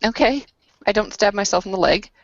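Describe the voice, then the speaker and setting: A woman's voice: mid-range voice, animated, brisk, dull audio, background noise. A woman chatting casually as a player in a tabletop roleplaying game.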